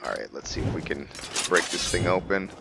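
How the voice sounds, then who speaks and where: A man giving casual gameplay commentary, thinking aloud with halting, hesitant pauses. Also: sits low, lively intonation, gravelly, heavy background noise. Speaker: gamer host of a roleplay series.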